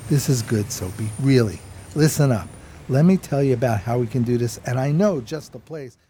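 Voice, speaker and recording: An actor playing a streetwise, loyal homeless pal in a 1920s New York radio play, with slangy, folksy, casual speech. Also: male voice, medium pitch, moderate pace, mellow, a little hiss or hum, close to an everyday voice. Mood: sly, eager. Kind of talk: radio play acting and storytelling.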